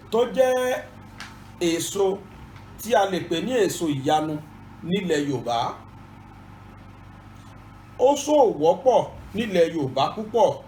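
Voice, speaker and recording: A Yoruba-accented man hosting an informative channel speaks in a notably high voice, earnest and formal, repeating each point carefully. His voice rings in a roomy space with a faint hum of ambience behind him. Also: darkish tone. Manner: earnest, repetitive, formal instructions. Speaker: channel host and presenter. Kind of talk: informative bilingual presentation.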